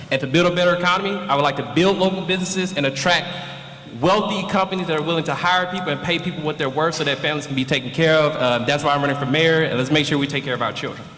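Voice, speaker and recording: A man's voice: fairly high pitch, a bit bright. A young man running for mayor, rattling off his credentials and policy points in a campaign speech. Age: young adult. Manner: rapid, listing policy points. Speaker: mayoral candidate. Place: large indoor event hall. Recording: echoey hall with faint room noise.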